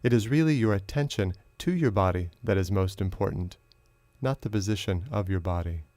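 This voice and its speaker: A man narrating a guided meditation as a teacher, in a slow, measured, instructional way with long pauses. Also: deep voice, dark tone, no room sound.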